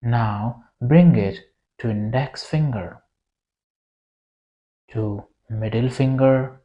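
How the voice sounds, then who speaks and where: A meditation guide leading a yoga nidra relaxation in a soothing, deliberate way, with long pauses between short phrases. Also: mid-range voice, dull audio.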